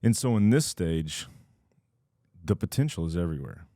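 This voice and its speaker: A man with a very low, mellow voice hosts a casual podcast. He jokes around with his co-host, then settles into long explanations. The sound is close and dry. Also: sing-song, normal speed, dry recording. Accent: American. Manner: playful, teasing, then explains in long stretches.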